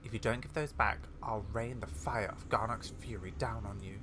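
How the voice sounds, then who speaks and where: A woman's voice: extremely low pitch, fairly even intonation, moderate pace. Woman reading as a brash, sneering young villainess. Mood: sneering, threatening. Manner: sneering, sarcastic, threatening. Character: brash, taunting young female villain confronting a witch. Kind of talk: reading video game dialogue aloud. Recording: home recording with faint noise.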